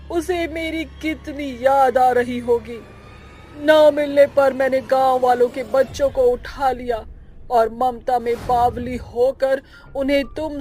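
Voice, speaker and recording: A woman's voice: high-pitched, a little mellow, voice pushed down. A woman voicing a grieving, possessive witch mother in a cartoon horror story, plaintive and insistent. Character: grieving witch mother, possessive yet tender toward children. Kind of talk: Hindi animated horror story acting.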